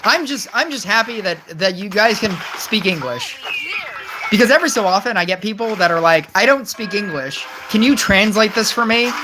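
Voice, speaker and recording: A chatty mobile gaming streamer talks casually to viewers at a mid-range pitch. The talk rambles, full of "like" and restarts, with wry, amused asides. Steady hiss sits under the voice, with clicking sounds throughout. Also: normal speed. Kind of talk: casual livestream chat, reading viewer messages. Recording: noisy stream audio with clicks.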